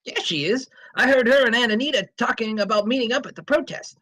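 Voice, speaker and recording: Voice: mid-range voice, fast talker, a bit bright, natural voice, poor audio. A performer playing a cheeky, eager athletic teenage son at a screenplay table read.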